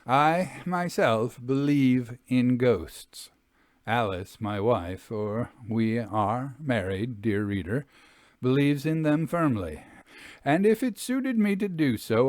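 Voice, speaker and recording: A man voicing a confident, confiding Victorian gentleman who investigates ghosts, speaking formally and deliberately in a storybook reading. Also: medium pitch, no echo, studio quality. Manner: formal, deliberate, addressing the reader.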